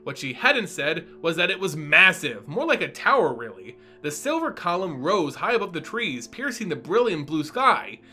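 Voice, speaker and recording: A man's voice: fairly high pitch, sing-song, normal speed, hardly any pauses, pushed and bright, soft background music. A man narrating a fantasy story as a dramatic reading.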